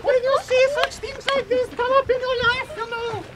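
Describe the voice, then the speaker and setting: A man doing a shrill gushing young woman. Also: flat delivery, moderate pace. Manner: squealing falsetto, giggly. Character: breathless young woman, gushing, high falsetto. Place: outdoor beach stage at night. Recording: loud outdoor noise.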